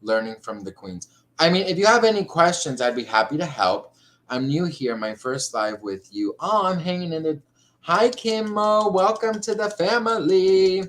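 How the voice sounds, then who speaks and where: A man's voice: on the high side, lively intonation, normal speed, a bit bright. A man hosting a casual livestream chat, effusive and gushing, calling guests honey and girl. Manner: chatty, effusive, calls people honey and girl. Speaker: livestream host.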